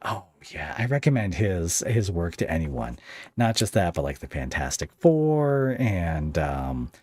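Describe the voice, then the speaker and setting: A man's voice: deep voice, sing-song, a little slow, a little mellow, slightly rough. A man hosting a podcast, chatting casually about pop culture in a wry, rambling, hesitant way. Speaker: podcast host interviewing a guest.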